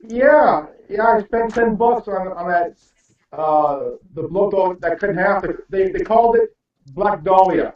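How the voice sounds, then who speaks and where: A very echoey, distant recording of a high-voiced man. He chats casually but haltingly, often doubling back on his words, and the sound is dull. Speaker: participant in an online group hangout. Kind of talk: casual chat. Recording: very echoey, muffled, off-mic.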